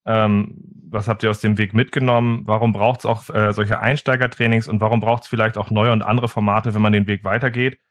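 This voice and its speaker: A man's voice: fairly low pitch, flat delivery, a little fast, hardly any pauses, no echo. A man who moderates a panel, putting questions to the guests in an easygoing, casual way.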